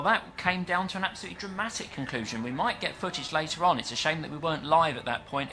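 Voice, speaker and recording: A British man giving live commentary on a stock car race, chatty and explanatory, pausing often with fillers. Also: on the high side, sharp tone. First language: English. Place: at a dirt track event.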